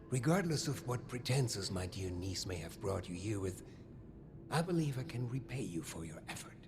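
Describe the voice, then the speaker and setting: A man's voice: fairly low pitch, creaky, full character voice. An actor playing a suave, pompous older German vault overseer and businessman in a video game, courtly and flamboyant in manner. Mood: smooth persuasion. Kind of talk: scripted video game dialogue.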